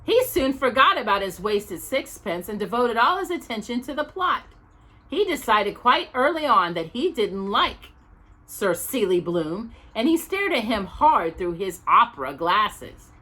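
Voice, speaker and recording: A woman reading a children's storybook aloud as narrator, expressive and emphatic. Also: a little high, a little slow. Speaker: storytime reader.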